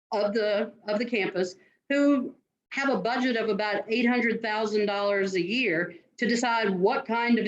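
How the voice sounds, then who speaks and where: Earnest woman dean, dull lo-fi call. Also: medium pitch. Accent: non-New York American. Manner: earnest, direct, advising students. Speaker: admissions dean. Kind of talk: answering interview questions.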